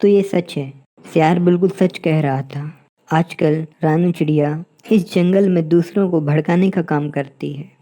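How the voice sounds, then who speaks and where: A woman's voice: low-pitched, a little slow, mellow. A woman voicing a stern, pompous male lion king who passes judgement in a cartoon fable. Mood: scornful. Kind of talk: Hindi moral-story cartoon dubbing.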